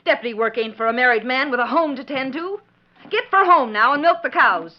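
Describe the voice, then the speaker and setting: A woman's voice: high voice, animated, brisk. A woman playing a bossy, scolding frontier ranch wife with a rural Western American accent, in 1940s radio western style. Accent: rural American West. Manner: bossy, nagging. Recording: dull old 1940s radio recording.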